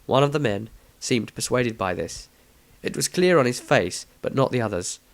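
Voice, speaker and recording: A man's voice: medium pitch, lively intonation, normal speed, vocal fry, no room sound. A man reading a scripted fantasy story as its narrator. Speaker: author and narrator.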